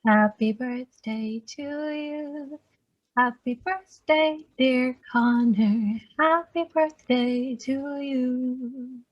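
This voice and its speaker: A woman's voice: sits high, drawn-out words, dull audio, full character voice. A woman playing an affectionate young mother from a home video in a screenplay table read, speaking tenderly. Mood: singing tenderly.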